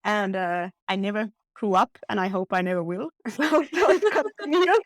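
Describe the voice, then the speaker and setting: A woman's voice: mid-range voice, animated, normal speed, no room sound, studio quality. A woman from northern Finland, a guest talking eagerly about her work, laughing often and restarting her phrases. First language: Finnish. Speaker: guest parasitologist. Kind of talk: casual podcast chat.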